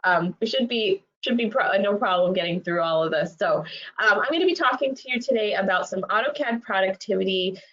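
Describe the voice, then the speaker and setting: Congested woman leading a software webinar. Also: medium pitch, a little fast. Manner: friendly, lots of fillers. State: congested from a cold. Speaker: trainer. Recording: dull lo-fi web audio.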